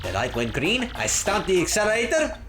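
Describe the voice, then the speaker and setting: A podcaster voicing a boastful, excitable video game hero who recounts tall adventure tales in slangy, dramatic storytelling, in a roomy space. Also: mid-range voice, a little fast, close to an everyday voice. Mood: excited, bragging. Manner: bragging, slangy storytelling, often addressing listener as bro. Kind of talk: dramatic read-aloud of video game dialogue. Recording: roomy recording with loud music.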